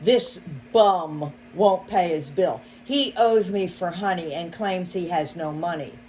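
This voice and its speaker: A woman's voice: on the low side, takes it slowly, darkish tone, slight background noise. A woman voicing a sly, greedy male fox shopkeeper who sneers and barks orders in a storybook reading. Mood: menacing.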